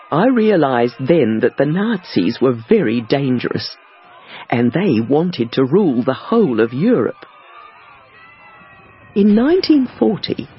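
Slow, expressive male audiobook reader. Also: medium pitch. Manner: slow, careful, clearly paced reading. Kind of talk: scripted first-person narration for learners. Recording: dull lo-fi audiobook recording.

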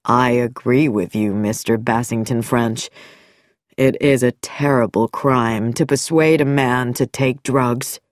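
A woman reading an audiobook voices a smooth, calm, quietly mocking middle-aged male doctor in a lowered voice. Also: slow, no echo, clean studio sound.

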